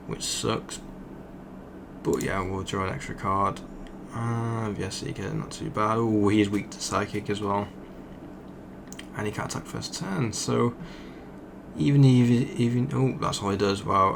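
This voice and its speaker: A young man, croaky from just waking up, rambling casually as he commentates a card game he is playing. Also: fairly low pitch, noisy background. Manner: rambling, thinks aloud with fillers. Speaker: gaming channel host.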